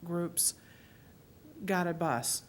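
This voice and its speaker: Woman, middle-aged, low pitch, earnest, emotional, audience member, faint rumble. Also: drawn-out words. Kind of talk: personal reflection at a public talk. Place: public library meeting room.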